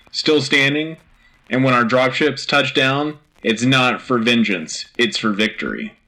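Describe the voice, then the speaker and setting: A man's voice: medium pitch, pushed and bright, rough recording. A man playing an earnest, rousing war news anchor on a sci-fi radio show, with dramatic, punchy delivery.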